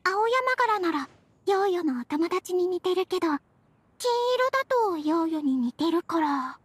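A woman's voice: very high-pitched, expressive, a little slow, no room sound. A woman playing a sweet, earnest little girl in an anime game, with a cute, eager, childlike manner. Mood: pondering. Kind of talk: Japanese anime game acting.

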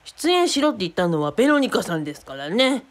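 An earnest young man in a radio drama, played by a woman. He is courteous and a little cheeky, speaking in a mid-range feminine pitch with lively swings. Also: quick pace, lightly acted. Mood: earnest, insistent. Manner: courteous, matter-of-fact.

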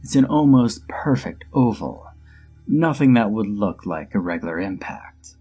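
Husky low-voiced man narrating a horror story. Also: a little slow. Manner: steady storytelling, dry humor. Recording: music bed under the voice.

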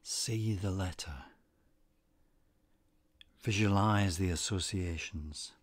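Slow, low-voiced man guiding meditation. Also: dry recording. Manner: calm, deliberate, gently instructing. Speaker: meditation guide. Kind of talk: scripted guided meditation narration.

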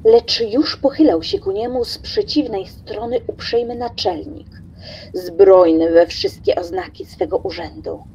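A woman's voice: medium pitch, big pitch swings, unhurried, gravelly, mellow, dull audio, a little hiss or hum. A woman reading scripted literary narration for an audio drama.

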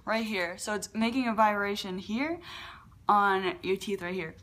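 A young woman with a fairly low voice for a woman, hosting a casual beatbox tutorial from her living room and talking to a webcam, with lots of fillers and restarts. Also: unhurried, fairly bright tone. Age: young adult. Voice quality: lower voice for a woman. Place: large living room at home.

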